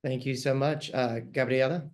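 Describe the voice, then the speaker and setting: A man from New York moderating a virtual panel, friendly and a little halting, with frequent fillers. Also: medium pitch, steady tone, darkish tone. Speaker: panel moderator, managing editor. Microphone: video call.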